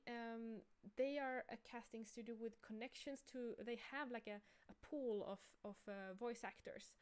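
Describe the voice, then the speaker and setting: A woman co-hosting a livestream, explaining a project informally and haltingly, often correcting herself. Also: on the high side, smooth. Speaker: livestream co-host and game creator. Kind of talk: casual livestream talk about a project.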